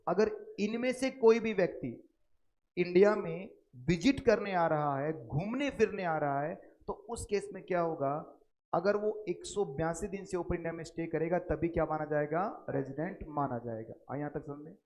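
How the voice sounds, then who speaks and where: A slightly high-voiced man with an Indian accent gives a classroom tax lecture. He stretches key English terms out slowly and keeps asking his students questions, then answers them himself. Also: a little mellow. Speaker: tax teacher. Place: recording studio.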